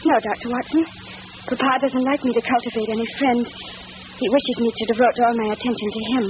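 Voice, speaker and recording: An actress playing an anxious, polite young gentlewoman with a fearful, breathless delivery in a 1940s radio melodrama. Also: a little high, fairly even intonation, a little fast, close to an everyday voice. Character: timid, anxious young English gentlewoman, devoted daughter. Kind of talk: 1940s radio drama acting. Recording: muffled, noisy 1940s radio recording.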